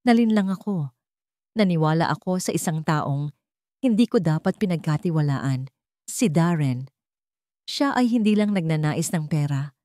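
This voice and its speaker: A woman's voice: fairly low pitch, dark tone, dry recording, clean studio sound, close to an everyday voice. A woman voicing a weary, remorseful father leaving a grave but determined last message in an audio drama story. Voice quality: weak, tired.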